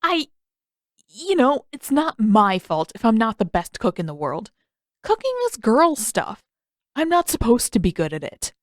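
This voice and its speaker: A woman's voice: a little high, big pitch swings, a little slow, no room sound, clean studio sound. A woman voicing an indignant, boastful and defensive teenage boy in an audiobook, protesting with emphasis. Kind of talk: audiobook character voice.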